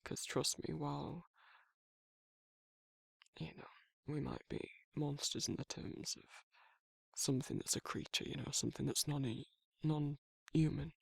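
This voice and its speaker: A protective male werewolf, acted by a man murmurs with a British accent in a close, very dry ASMR roleplay. The voice sits a little high for a man, yet it is thick with crackling fry. The delivery is halting and awkward, tender and shy. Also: fairly even intonation, no echo, exaggerated voice. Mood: fond, reflective. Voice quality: husky, gravelly, breathy. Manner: halting, hesitant, self-correcting, softly murmured. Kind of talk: intimate ASMR romantic roleplay.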